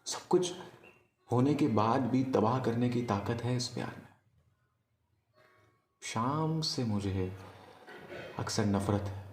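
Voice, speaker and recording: A man performing a poetic love monologue as a storyteller, in a wistful, deliberate manner with pauses. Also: mid-range voice, reverberant, slight background noise. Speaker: spoken-word storyteller. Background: music.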